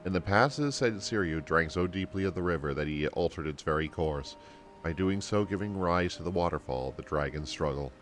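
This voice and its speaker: A man's voice: low voice, lively intonation, fairly quick, faint music behind. A man voicing an earnest female storyteller from a fantasy game, reading her dialogue in formal, archaic, kindly phrasing. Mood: solemn storytelling. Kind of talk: game dialogue read aloud.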